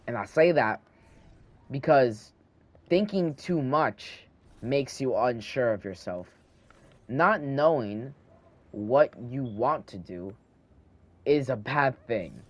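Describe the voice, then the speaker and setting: A man's voice: mid-range voice, animated, slow, pauses often. A teenage boy with a slight lisp, rambling and thinking aloud while he plays a video game at home, talking into a handheld microphone. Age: teens. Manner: meandering, thinking aloud, frequent restarts. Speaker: gaming channel host. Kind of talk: rambling casual talk over gameplay.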